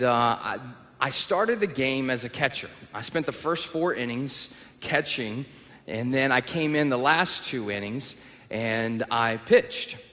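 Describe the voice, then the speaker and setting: A man's voice: mid-range voice, fairly bright tone. A young male pastor from Texas with a Texan accent, preaching a sermon and telling personal stories with self-deprecating humor. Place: church auditorium. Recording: long reverb of a large hall.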